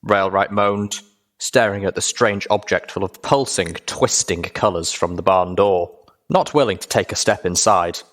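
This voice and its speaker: Male narrator, fairly low voice, scripted first-person storytelling, audiobook style. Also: normal speed, a bit bright.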